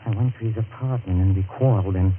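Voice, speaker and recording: An actor playing an anguished, self-loathing man guilty of murder, halting and melodramatic, in the style of 1940s radio horror. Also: fairly low pitch, natural voice. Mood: haunted, confessing. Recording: tinny, noisy vintage radio recording.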